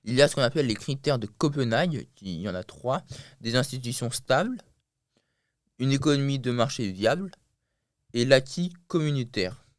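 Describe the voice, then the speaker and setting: A man's voice: medium pitch, expressive, moderate pace, no room sound, clean studio sound. Hesitant male podcast host. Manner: hesitant, many fillers. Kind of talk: podcast interview.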